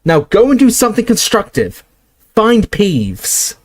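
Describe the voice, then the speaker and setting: A man's voice: mid-range voice, expressive, moderate pace, darkish tone, dry recording, close to an everyday voice. A man voicing a stern, commanding older female professor with a clipped, imperious manner. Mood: shouting.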